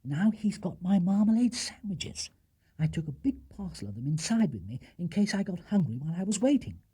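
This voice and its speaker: A man's voice: high-pitched, big pitch swings, a little fast, warm tone, slightly rough, pitched above the performer's own voice, exaggerated voice. A British man voicing an earnest young bear detective in a storybook, explaining things in a matter-of-fact way. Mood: earnest, excited.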